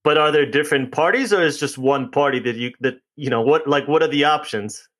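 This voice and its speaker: A man's voice: fairly high pitch, brisk, fairly bright tone. A man hosting a podcast in a friendly, conversational way, often restarting his sentences. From United States. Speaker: podcast host. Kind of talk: casual interview chat.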